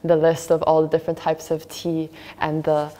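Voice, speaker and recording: A woman's voice: low-pitched, completely flat delivery, moderate pace, mellow, long reverb tail. A woman in her early twenties from Finland, chatting casually as a student guest. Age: early 20s. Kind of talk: casual panel chat. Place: large indoor cafe-like room. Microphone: lapel.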